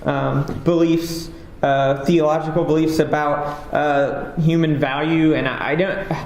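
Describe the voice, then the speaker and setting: A man's voice: a little high, steady tone, measured pace, darkish tone. A man giving a casual, rambling conference talk as a game developer, with lots of fillers and a self-deprecating manner. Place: conference stage. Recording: echoey hall with faint ambience.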